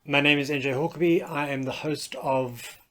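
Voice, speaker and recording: A man's voice: a little high, steady tone, moderate pace, bright, forceful tone. A man telling a personal story as a podcast host, candid and wry. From South Africa.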